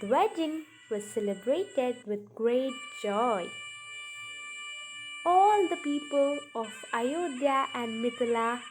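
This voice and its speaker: A woman narrating a children's story from a script, in deliberate, halting phrases with pauses. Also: a little high, rough recording. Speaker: storyteller narrating a puppet show.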